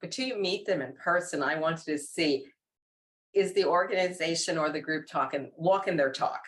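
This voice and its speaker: A woman with a low-pitched voice and a New York accent chats as a podcast co-host. She tells things casually and colloquially, in an animated way, dropping in wry asides. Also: normal speed. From New York, USA. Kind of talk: casual conversational storytelling.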